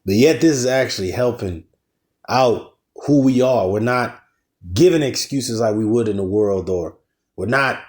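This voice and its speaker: A man's voice: mid-range voice, normal speed, darkish tone. A man teaching a casual religious lesson, preaching in a loose, slangy way with some cursing and emphatic rises on key points.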